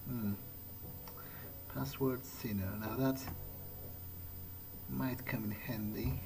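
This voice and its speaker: A man's voice: mid-range voice, fairly even intonation, takes it slowly, creaky, noisy background. A man improvising in-character commentary as he plays a video game, hesitant and musing. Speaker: gamer playing in character. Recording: voice-over with game music behind. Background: video game music.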